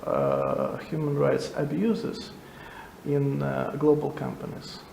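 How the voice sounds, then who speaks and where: Slow, halting male interviewer. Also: medium pitch, sing-song, gravelly, a little mellow. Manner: slow, halting questions with many restarts. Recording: echoey room, faint noise.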